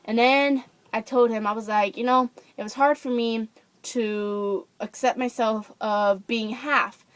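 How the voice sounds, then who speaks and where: Young woman, from Japan, casual rant to camera, slightly high voice, dry close sound. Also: fairly even intonation, measured pace, no echo. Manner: chatty, many fillers and restarts. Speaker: vlogger.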